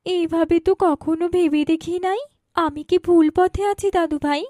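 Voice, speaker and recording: A woman's voice: extremely high pitch, steady tone, normal speed, warm tone, no room sound, clean studio sound. A woman voicing a polite, earnest village woman in a moral cartoon, with a Bangladeshi accent. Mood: humbled. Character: village mother and her polite, earnest grown daughter. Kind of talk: cartoon moral story dialogue.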